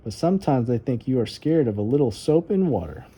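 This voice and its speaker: A man's voice: medium pitch, big pitch swings, brisk, warm tone, husky. A man voicing a firm, patient female babysitter in a children's storybook read-aloud. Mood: calm, encouraging.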